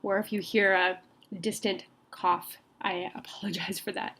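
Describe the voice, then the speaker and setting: A woman's voice: mid-range voice, flat delivery, measured pace, fairly bright tone. A woman instructor giving a recorded online college lecture while she has a cold, speaking in a friendly, conversational way with frequent ums and restarts.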